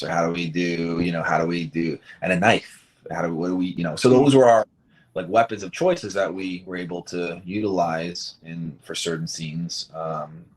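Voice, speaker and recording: A man, a director guest, explaining in a halting, filler-heavy way during a casual interview. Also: low-pitched, slightly rough, poor audio.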